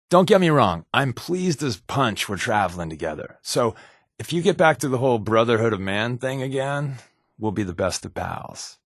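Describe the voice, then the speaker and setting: A man's voice: mid-range voice, slightly rough, no room sound, studio quality. A man playing an easygoing, wisecracking secret agent with hidden guilt in a video game, talking in a wry, casual, conversational way. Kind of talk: video game companion dialogue.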